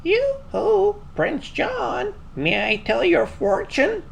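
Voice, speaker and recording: A man's voice: very high-pitched, wildly swooping pitch, takes it slowly, gravelly, slight background noise, voice pushed up, full character voice. A man voicing a cooing, theatrical old woman fortune teller in a children's storybook reading, drawing out the words. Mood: cooing, beckoning.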